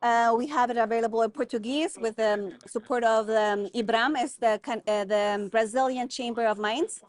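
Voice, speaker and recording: Earnest woman, slightly high voice. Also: fairly even intonation, moderate pace. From Guatemala. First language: German. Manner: thoughtful, earnest, many fillers and restarts. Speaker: guest, mining consultant. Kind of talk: conversational interview.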